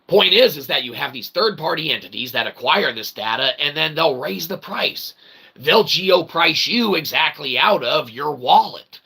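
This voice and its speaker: A man delivers an opinionated monologue in a somewhat high, piercingly bright voice with a lot of gravelly fry. He stacks up grandiose, pseudo-scholarly phrases and slang, landing them with emphatic check-ins. There is faint noise behind him. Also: lively intonation, normal speed. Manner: emphatic, verbose mock-academic phrasing mixed with slang; punctuates statements with "okay?" and "all right". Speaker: channel host, self-published author. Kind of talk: opinionated rant to viewers.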